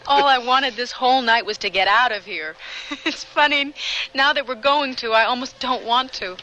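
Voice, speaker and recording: An actress playing a troubled young woman with a guilty past, confessing earnestly and haltingly in a radio drama. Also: fairly high pitch, bright, forceful tone, a little hiss or hum, rough recording. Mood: wistful.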